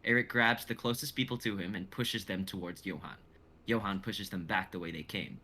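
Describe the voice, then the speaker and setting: A man's voice: deep voice, a little fast, bright, forceful tone, a little hiss or hum. A young man reading stage directions as dramatic narration in a live script reading. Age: young adult.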